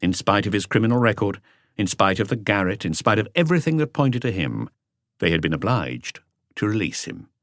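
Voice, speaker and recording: A man's voice: on the low side, big pitch swings, brisk, fairly bright tone, no echo. A man narrating an audiobook story from a script.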